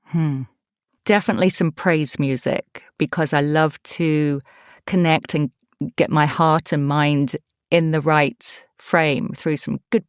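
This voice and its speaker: A woman's voice: low voice, clear, resonant tone, dry recording, studio quality. A middle-aged woman with an English accent, upbeat and reflective as a guest in a casual interview. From East Anglia, England. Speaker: podcast guest, voice actor.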